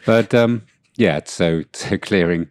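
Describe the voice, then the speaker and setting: Male co-host, very low voice, a bit sniffly, wry and self-mocking, dry close recording. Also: slow, a little mellow, no room sound. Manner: dry, self-deprecating humor. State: has a cold. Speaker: podcast co-host. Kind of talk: casual chat. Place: room with co-host far off.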